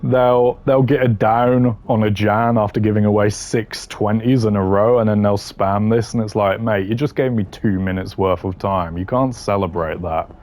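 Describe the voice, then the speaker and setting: Blunt man with a lowish voice. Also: fairly even intonation, normal speed, few pauses, darkish tone. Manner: blunt, dismissive, dry humour. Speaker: gaming content creator ranking voice lines. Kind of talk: casual opinionated commentary.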